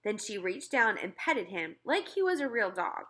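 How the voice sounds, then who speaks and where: A woman narrating a children's novel as an expressive read-aloud. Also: mid-range voice, moderate pace.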